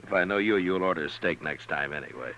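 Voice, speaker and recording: An American actor playing a calm, steady Old West marshal with measured, dryly humorous delivery in a 1950s radio western. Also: male voice, a bass voice, slight background noise, exaggerated voice. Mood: dry, teasing. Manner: measured, laconic, dry. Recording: dull vintage radio recording, music behind.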